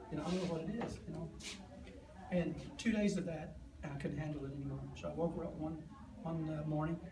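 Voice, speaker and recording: A hoarse man in his fifties, earnest and plain-spoken, telling his story to an audience and acting out the dialogue. His voice sits a little high for a man. The recording is distant and echoey, with steady room noise behind him. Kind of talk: personal storytelling to a live audience. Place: event hall with an audience.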